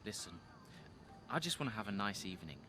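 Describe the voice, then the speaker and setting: An actor playing a guarded, defensive young racing driver in a video game cutscene. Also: male voice, lowish voice, sing-song, fast talker, over music. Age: young adult.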